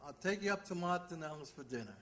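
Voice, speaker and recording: Man playing a lovable shaggy homicide cop in an old radio show, jokey, kinda high voice, really up and down. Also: lively intonation, fast talker, a crowd in the background, lightly acted. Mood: casual, probing. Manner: jocular, folksy, needling. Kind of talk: old-time radio detective drama acting.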